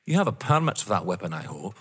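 A man acting in an audio drama as a dogged, stern police inspector, pointedly courteous, with a rough, husky voice. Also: lowish voice, sing-song, fast talker. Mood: probing. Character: dogged, stern male police inspector, dryly polite and accusing. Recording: long echoing hall reverb.

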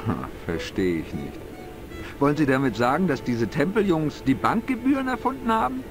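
A man's voice: a little high, sing-song, moderate pace. An actor playing a simple, gullible, talkative village man in an adventure game, with naive, colloquial speech. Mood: indignant, puzzled. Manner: naive, colloquial, exaggerated swings of emphasis. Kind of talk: dubbed adventure game dialogue. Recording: music behind the voice. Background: game music.

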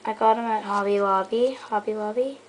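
A woman's voice: mid-range voice, steady tone, brisk, heavy background noise. A young female vlogger giving a rambling, casual show-and-tell tour of her toy horse stable, filmed on a handheld camera indoors. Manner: rambling, lots of um and so yeah. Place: indoor bedroom floor. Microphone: handheld camera mic.

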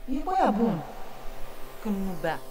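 A woman's voice: mid-range voice, sing-song, big-room sound, noisy background, lightly acted. An actress playing a long-suffering middle-aged mother, plain-spoken, emphatic and resentful, in an audio drama. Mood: resentful, weary.

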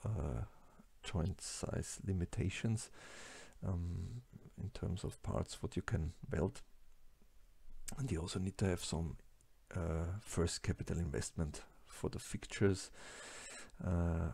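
A man with a German-speaking accent giving a slide lecture, halting with many fillers and restarts. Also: low voice, creaky, dark tone, breathy edge, dry recording. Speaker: lecturer on plastics engineering.

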